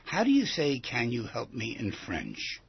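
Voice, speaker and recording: A man with a very husky, gravelly voice hosts a radio interview in a conversational way. He circles back and rephrases his questions as he probes. The audio is somewhat dull and lo-fi. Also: mid-range voice, huge pitch swings, a little slow. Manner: probing, repeats and rephrases questions. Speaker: radio show host. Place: radio studio.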